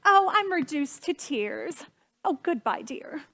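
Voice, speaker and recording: A woman's voice: sits high, very animated, slow pace, a bit bright, exaggerated voice. A woman playing a fretful, imperious society hostess and mother in a theatrical period stage comedy, gushing and dramatic. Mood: gushing regret. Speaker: stage actress.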